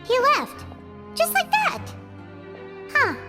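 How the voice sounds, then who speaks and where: A woman voicing a small, childlike, excitable fairy girl with a squeaky voice in a video game. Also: slow, over music, exaggerated voice. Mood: thoughtful, chirpy. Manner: excitable, exclaiming, refers to self in third person. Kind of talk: video game cutscene voice acting.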